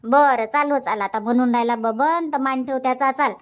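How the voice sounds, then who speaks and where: A woman's voice: high voice, moderate pace, close to an everyday voice. A woman voicing a chatty older village aunt in a Marathi cartoon comedy, scolding and motherly, with a Varhadi accent from Vidarbha. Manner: scolding, motherly, repeats herself.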